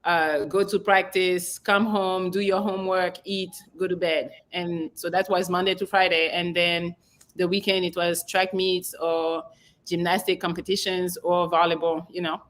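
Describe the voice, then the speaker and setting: A woman's voice: on the low side, flat delivery, normal speed, fairly bright tone. Direct young woman from France. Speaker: dating show guest. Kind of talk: live dating show chat.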